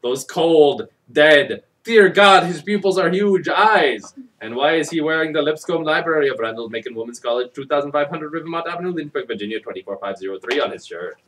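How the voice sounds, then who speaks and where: A man's voice: fairly high pitch, animated, brisk, few pauses, a bit bright, far from the mic, a little hiss or hum, low-quality recording. A man giving a mock-dramatic storybook reading with sardonic, profane asides in a theatrical delivery. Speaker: comic storybook reader. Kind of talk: mock-dramatic reading with ad-libbed crude commentary.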